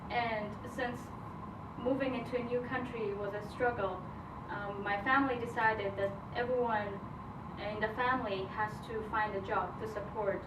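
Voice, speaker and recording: A roomy, noisy recording of a woman in her twenties speaking from some distance. She has a medium-pitched voice and tells her own story as a speech. Her delivery is halting, with pauses in the middle of phrases. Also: fairly even intonation. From Afghanistan. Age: 20s. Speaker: grant recipient giving a speech. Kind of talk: personal story told in a speech. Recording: roomy, distant mic, noisy event hall.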